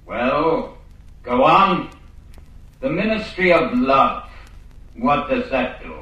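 Booming, echoing telescreen interrogator. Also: male voice, expressive, takes it slowly, pushed and bright, highs cut off. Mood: stern, menacing. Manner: commanding, declamatory interrogator. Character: stern menacing male voice of a totalitarian telescreen. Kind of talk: radio drama acting. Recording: echoing, distant old radio drama recording.

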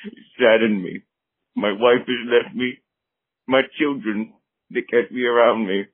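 A man's voice: mid-range voice, fairly even intonation, a little slow, dry recording. A man phoning in a confession, stammering and pleading for help. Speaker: caller. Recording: dry phone line.

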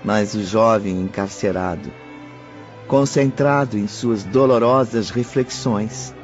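Serious radio-play narrator, lowish pitch, dramatic scripted delivery, slightly dull sound, faint music. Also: male voice, slow. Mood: sombre. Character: sombre third-person storyteller.

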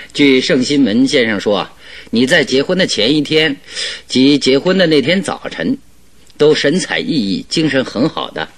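Give the detective a lively, wide-ranging delivery with a husky edge. He is shrewd, genial and self-assured, laying out deductions expansively as in a Mandarin radio play. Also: mid-range voice, a little slow. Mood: confident, explanatory. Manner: chuckling, expansive, leisurely explaining his deductions. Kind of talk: Mandarin radio drama acting.

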